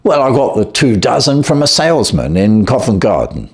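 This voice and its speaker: A folksy London pub landlord from a storybook, put on by a male reader. He is plain-spoken and easygoing, and his pitch bobs up and down freely. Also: big pitch swings, normal speed. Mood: surprised, amiable. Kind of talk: storybook character voice.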